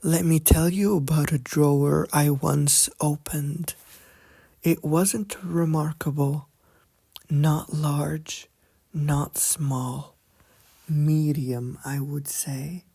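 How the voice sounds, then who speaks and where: Female bedtime narrator: soft, breathy near-whisper, dark tone, soothing monotone, unhurried pauses. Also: deep voice. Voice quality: soft, breathy, often slipping toward a whisper. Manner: calm, monotone, hushed, with long pauses. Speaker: sleep story narrator. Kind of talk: slow soothing bedtime sleep story narration.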